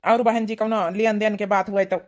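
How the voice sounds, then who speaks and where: A woman's voice: mid-range voice, little pitch movement, normal speed, close to an everyday voice. A woman voicing a matter-of-fact village father in a comedy cartoon, with an Awadhi accent.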